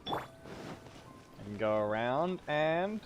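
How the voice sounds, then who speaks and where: A man with a mid-pitched voice gives casual live commentary as he plays a game. He talks slowly and offhandedly, in halting bits, over game music. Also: expressive. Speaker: game streamer.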